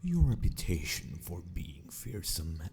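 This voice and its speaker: A man acting a sadistic, possessive mad scientist in an ASMR roleplay, speaking with formal, mock-polite theatricality and often slipping toward a breathy whisper. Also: deep voice, very animated, measured pace, darkish tone, slight background noise. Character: sadistic, possessive male mad scientist of an empire, mockingly courteous. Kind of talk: ASMR villain roleplay monologue.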